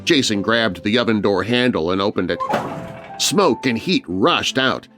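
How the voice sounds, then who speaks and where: A man's voice: lowish voice, lively intonation, a little slow, music in the background. A man narrating a scary story for children in a dramatic, scripted storytelling style.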